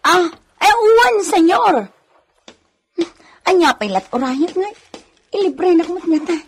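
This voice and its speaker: A woman's voice: very high-pitched, expressive, measured pace. A woman with a Filipino accent playing a bashful, giggly unmarried village woman of thirty in a comedy radio drama, coy and whiny. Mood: bashful, flattered. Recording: music bed under the voice.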